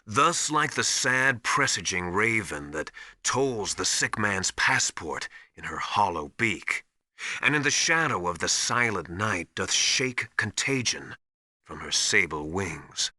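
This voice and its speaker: Commanding game mentor, very forceful bright tone, lively swooping pitch, didactic, emphatic. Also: male voice, takes it slowly, no echo, studio quality, exaggerated voice. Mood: ominous, solemn. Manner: didactic, urgent, emphatic instruction. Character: knowledgeable, commanding male military survival mentor called Master. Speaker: radio support advisor. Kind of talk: video game voice acting.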